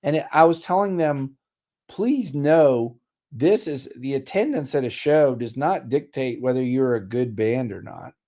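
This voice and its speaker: A man's voice: medium pitch, lively intonation, unhurried, dark tone. A man in his forties, a musician guest on a radio interview, talking in a candid, wry, matter-of-fact way, his voice slightly worn. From Richmond, Virginia. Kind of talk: casual interview chat.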